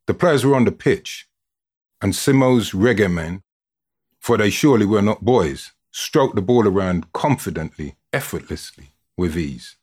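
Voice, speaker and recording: Male narrator, low and husky, expressive storyteller reading from a script with drama. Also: unhurried. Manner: expressive, dramatic delivery with built-up lists. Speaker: reader, narrator of a written football story.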